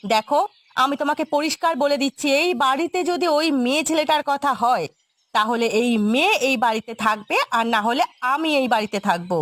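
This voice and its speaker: Woman playing a scornful high-voiced cartoon stepmother. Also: normal speed, a bit bright, dry recording. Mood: angry, threatening. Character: spiteful, domineering stepmother. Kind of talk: cartoon drama acting.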